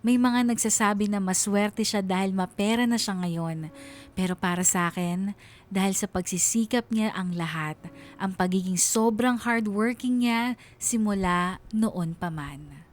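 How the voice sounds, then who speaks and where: A woman's voice: medium pitch, unhurried, slight background noise. A woman reading a scripted first-person story as a radio narrator. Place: radio studio. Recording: radio studio narration over music.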